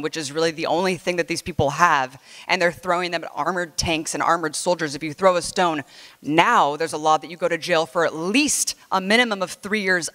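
A woman's voice: fairly low pitch, a bit bright. A woman journalist speaking earnestly and indignantly on a panel, often restarting her sentences. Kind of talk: panel discussion talk. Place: large hall stage. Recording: hall reverb, far off.

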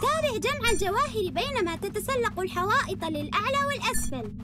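A plucky child superhero speaks in a very high, quick voice, earnest and determined. It is lively cartoon-game acting over loud music. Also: female voice. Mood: encouraging.

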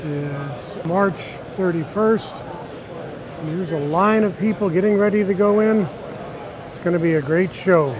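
A man hosts a tour of a busy convention hall, his voice fairly high and his tone upbeat and chatty. Loud crowd chatter from the hall fills the background. He talks as an interviewer, keeping things conversational. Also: measured pace. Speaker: interviewer and host. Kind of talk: convention walkthrough narration and interview.

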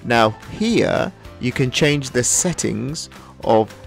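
A man narrating a software tutorial in an instructional, step-by-step way. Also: mid-range voice, animated, slow, creaky, faint music behind. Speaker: tutorial host.

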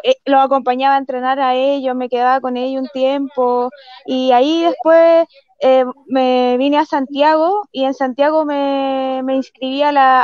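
A Chilean woman with a high voice talks casually as an interview guest. The sound is dry and a little dull, like a video call. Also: clean tone, no echo. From San Antonio, Chile. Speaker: guest footballer.

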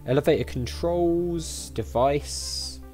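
A man's voice: a little high, takes it slowly, warm tone, faint music behind. A man giving casual let's-play commentary, thinking aloud in a chatty way as he plays. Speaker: gaming video host.

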